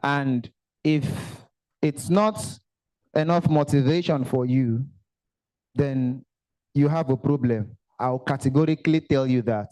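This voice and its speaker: A young man with a Nigerian accent giving an animated motivational talk at a career summit, dropping Pidgin asides and bantering with the audience. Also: mid-range voice, unhurried, rough recording. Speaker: motivational speaker. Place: event hall.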